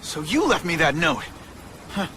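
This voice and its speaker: An actor playing a cocky, chatty young superhero who delivers sarcastic quips in a video game. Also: male voice, on the high side, very animated, a little slow, pushed and bright, slight background noise, full character voice, rough recording. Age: young adult. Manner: quippy, sarcastic.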